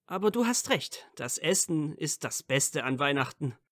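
A man's voice: on the high side, very animated, moderate pace, a bit bright, no echo, studio quality. A man playing a friendly, candid teenage wizard in an amateur audio drama.

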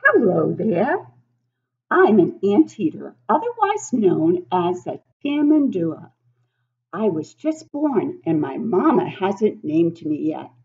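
A woman's voice: mid-range voice, big pitch swings, slow, darkish tone, husky, muffled recording, exaggerated voice. A woman in her sixties voicing a cheerful baby zoo animal from a picture book, introducing itself proudly and emphatically. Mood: friendly, eager. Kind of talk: picture-book character reading.

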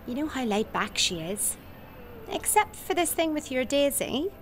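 A woman's voice: high-pitched, big pitch swings, a little slow, faint music behind. A woman acting in a radio soap as a frank hairdresser with a dry, conversational manner. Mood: candid.

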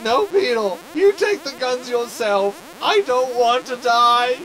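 An actor playing a wry, self-preserving hologram crew member with a dry, sarcastic manner, in a science fiction comedy audio drama. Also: male voice, extremely high pitch, lively intonation, takes it slowly, a bit bright, faint music behind, heavily acted, rough recording. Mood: anxious.

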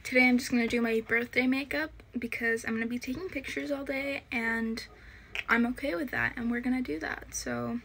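A woman's voice: on the high side, steady tone, a little fast, gravelly, fairly bright tone, a little hiss or hum. An eighteen-year-old woman from Italy chatting casually while doing her makeup in her bedroom, filming herself on a phone held close. She rambles a bit and leans on fillers. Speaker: vlogger. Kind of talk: casual get-ready-with-me chat. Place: small bedroom indoors. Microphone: phone camera at arm's length.

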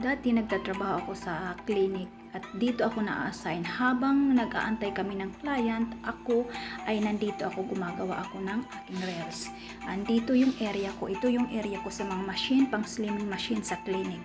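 A woman's voice: medium pitch, normal speed, over music. A woman in her thirties from the Philippines, vlogging casually about her clinic workday, chatty and rambling, filmed on a phone held at arm's length in a small clinic room. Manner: chatty, rambling, repeats herself. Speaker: vlogger and slimming machine operator. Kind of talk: casual vlog narration.

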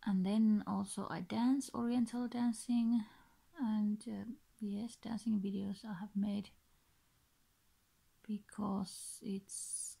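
A woman in her thirties with a Finnish accent, talking casually and hesitantly to the camera in short, halting, breathy phrases. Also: medium pitch, flat delivery, warm tone, poor audio. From Finland. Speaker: vlogger introducing her channel. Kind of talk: casual unscripted talk to camera. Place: small bedroom, indoors. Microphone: built-in device mic, close to face.

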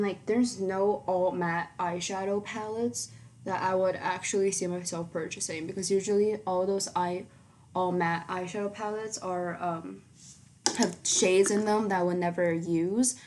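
A teenage girl chatting casually through her makeup routine in a small bedroom, rambling with lots of ums and likes, her voice fairly low for her age. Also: a little mellow, a little hiss or hum. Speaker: beauty vlogger. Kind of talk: casual makeup tutorial chat.

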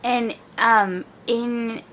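A woman's voice: fairly high pitch, fairly even intonation, drawn-out words, fairly bright tone, slight background noise, rough recording. A woman interviewer asking short questions from behind the camera. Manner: brief, questioning.

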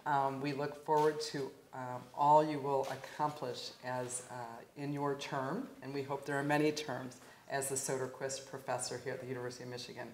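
A middle-aged woman giving a formal introduction speech at a podium, pausing often with ums and uhs. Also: very deep voice, level tone, a little mellow, slight background noise, poor audio. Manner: halting, many ums and uhs. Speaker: presenter introducing an award recipient. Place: wood-panelled lecture hall. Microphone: podium microphone.

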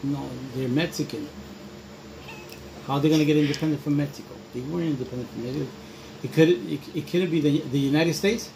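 A man's voice: on the high side, fast, vocal fry, darkish tone. A middle-aged man chatting casually as he answers quiz questions as a contestant. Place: home dining room, indoors. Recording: noisy room, camera across table.

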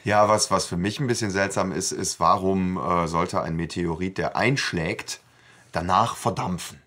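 Lowish-voiced man in casual discussion. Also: steady tone, moderate pace, a bit bright. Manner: colloquial, interjects often. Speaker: podcast host.

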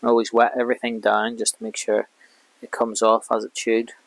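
A man in his thirties, a fishing vlogger, calmly explaining each step of his rig while working outdoors by a lake with a clip-on mic. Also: fairly low pitch, fairly quick, gravelly, no echo, low-quality recording. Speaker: carp angler vlogger. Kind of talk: casual how-to commentary.

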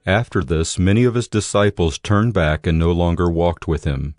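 Deep, solemn male narrator. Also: steady tone, normal speed, a little mellow, no room sound, studio quality, soft background music. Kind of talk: scripted scripture narration.

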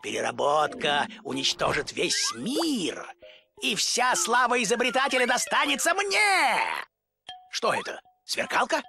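A man voicing a pompous, jealous mad scientist in a game dub, ranting theatrically and shouting about his inventions. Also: extremely high pitch, big pitch swings, a little slow, creaky, soft background music, exaggerated voice. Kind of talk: Russian game dub acting.

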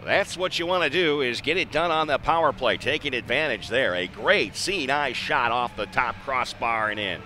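Male American hockey play-by-play announcer, mid-pitched, live radio style with clear arena noise behind him. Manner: excitable on big plays, measured between. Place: indoor ice arena. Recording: noisy live rink broadcast.

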